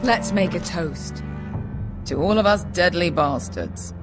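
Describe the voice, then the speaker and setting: A woman's voice: lowish voice, expressive, slow, over music. An actress playing a brash, cynical female mercenary in a video game, blunt, sardonic and foul-mouthed in manner. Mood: blunt, loyal. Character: brash, cynical female sellsword, loyal but greedy. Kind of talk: video game character acting.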